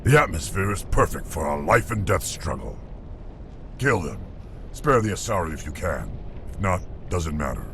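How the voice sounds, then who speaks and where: A man voicing a huge, ruthless alien mercenary in a video game, commanding and menacing with a gravelly voice. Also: sing-song, moderate pace, noisy background. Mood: cold menace. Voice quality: deep, gravelly. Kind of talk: video game villain acting.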